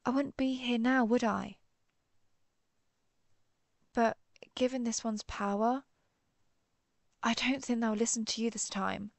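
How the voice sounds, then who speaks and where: A woman playing an earnest, conflicted vampire hunter who is herself a vampire, speaking formally with hesitant pauses in a dramatic audio roleplay. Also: on the high side, steady tone, creaky, dry recording. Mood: grave, resolute. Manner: formal, old-fashioned wording, hesitant pauses. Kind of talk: dramatic audio roleplay, one-sided dialogue.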